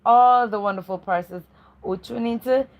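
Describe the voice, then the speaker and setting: A woman's voice: a little high, moderate pace, phone-quality sound. A woman co-hosting a read-aloud show, welcoming and chatting to viewers in a repetitive way. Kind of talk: welcoming live-stream presenting.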